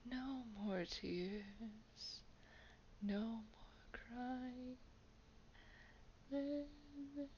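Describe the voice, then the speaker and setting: A woman voicing a haughty, menacing old vampire in a roleplay audio drama, breathy and gravelly, drawing out words with soft condescension. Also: fairly high pitch, slight background noise. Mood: menacing soothing. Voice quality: breathy whisper with gravelly creak. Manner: slow, drawn-out, condescending, softly threatening. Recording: dull, lo-fi home microphone.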